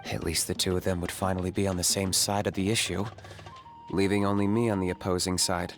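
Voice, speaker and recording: Male actor as a composed young hunter for hire, lowish, measured delivery, faint game music. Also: close to an everyday voice. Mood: calm. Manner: calm, measured and matter-of-fact. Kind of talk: video game dialogue.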